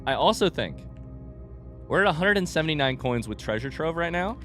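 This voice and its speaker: A man's voice: on the high side, very animated, brisk, a bit bright, soft background music. A man doing casual video game commentary, thinking aloud in a rambling, informal way, his voice a bit under the weather. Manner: rambling, informal, mild swearing. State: voice affected by being sick. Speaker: video game streamer playing blind.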